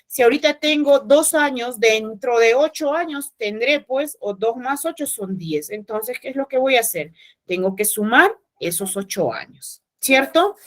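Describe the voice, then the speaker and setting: Teacher lady, online math class, goes step by step and keeps asking if they follow, kinda high voice. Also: lively intonation, unhurried. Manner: explains step by step, asks "¿verdad?" often.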